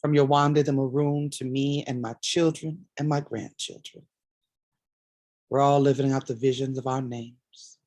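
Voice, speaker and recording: A woman's voice: a bass voice, steady tone, a little slow, darkish tone, no room sound. A woman in a staged play reading, playing an earnest grandmother playwright who addresses her family's ancestors. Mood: earnest, reflective.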